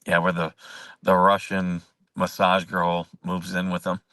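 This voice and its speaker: A man's voice: deep voice, monotone, a little slow, bright, forceful tone, no echo. Man, host, casual livestream chat, rambling, chuckling, dry sound, slightly distant mic. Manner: rambling, chuckling, answers viewer comments.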